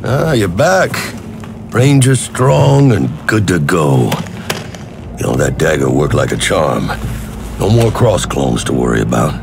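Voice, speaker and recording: An actor playing a gruff veteran wasteland marshal in a video game, hearty and wry. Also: male voice, a bass voice, big pitch swings, a little slow, noisy background, exaggerated voice. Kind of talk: video game cutscene acting. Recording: music behind the voice.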